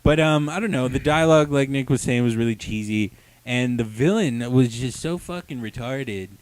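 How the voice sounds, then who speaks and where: A man's voice: medium pitch, normal speed, clear, resonant tone, no room sound. Young guy cohosting a review show, swears a lot, big opinions, loose casual chat. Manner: crude, opinionated, jokingly exaggerated. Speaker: comic review show host.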